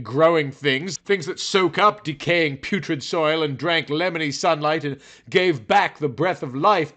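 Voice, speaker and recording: A man's voice: fairly high pitch, fairly bright tone. Theatrical man narrating a brooding story. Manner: theatrical, brooding, overwrought delivery. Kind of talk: dramatic scripted narration.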